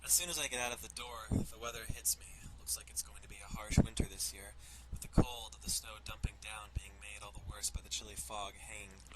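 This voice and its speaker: A man's voice: on the low side, fairly even intonation, a little fast, hardly any pauses, poor audio. A man streaming a let's play, reading a visual novel's narration aloud with loose, casual asides. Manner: swears casually. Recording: loud music behind the voice. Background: loud music.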